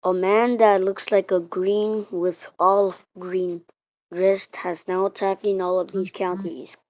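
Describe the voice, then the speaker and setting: A woman reading out an improvised mock emergency alert in a halting way, repeating and restarting her words. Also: mid-range voice, little pitch movement, a little mellow. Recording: muffled, roomy home recording.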